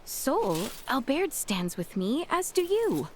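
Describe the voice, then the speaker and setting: Lively-pitched, resolute young princess. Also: female voice, slow pace, slight background noise, heavily acted. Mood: earnest, hesitant. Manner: formal, poised, courtly. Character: earnest, resolute young princess with regal bearing. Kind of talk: video game cutscene acting.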